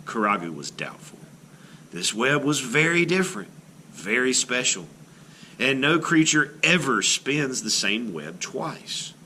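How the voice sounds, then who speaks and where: A man reading a children's story aloud in an expressive, theatrical style, like a teacher to a class. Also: mid-range voice, unhurried, slight background noise. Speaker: art teacher reading a picture book aloud.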